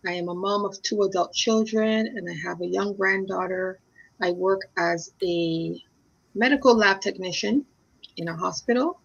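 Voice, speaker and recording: A middle-aged woman from Barbados answers as a guest, measured and reflective. Her audio sounds a bit dull and lo-fi. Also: medium pitch, gravelly, husky. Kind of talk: casual interview answers.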